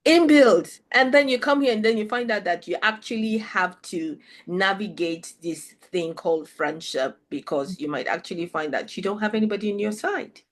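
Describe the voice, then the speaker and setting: A woman's voice: mid-range voice, normal speed, hardly any pauses. A middle-aged woman from Nigeria, hosting an interview chat and speaking reflectively, often restarting her sentences. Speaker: host.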